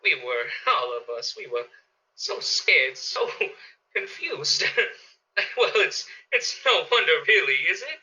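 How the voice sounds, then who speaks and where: A man's voice: a little high, very animated, slow pace, sharp tone, exaggerated voice. A man playing a hot-headed suburban neighbor in a panicked radio drama. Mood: sheepish, nervous. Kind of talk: radio play acting. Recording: dull lo-fi audio.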